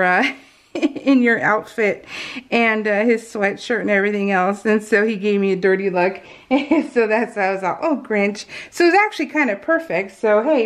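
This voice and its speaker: An American woman chatting casually as she narrates a craft project, rambling and giggly, with slightly unusual soft R sounds. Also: medium pitch. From California, US. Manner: giggly, rambling, self-interrupting. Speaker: craft vlogger. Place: indoors at home, at a craft table.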